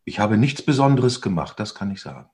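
A fifty-year-old man answering interview questions thoughtfully, pausing often with fillers. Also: lowish voice, very animated, vocal fry, a little mellow. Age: 50s. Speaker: guest, stage actor and director.

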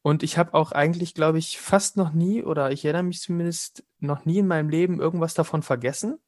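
A man's voice: fairly high pitch, steady tone, fast, darkish tone, dry recording. Young man, casual and chatty interviewee, dry close recording. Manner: chatty, laughs often, hesitant fillers.

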